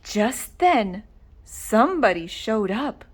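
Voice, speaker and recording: A woman reading a children's story aloud with dramatic, deliberate expression. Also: fairly high pitch, very animated. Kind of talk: animated read-aloud narration.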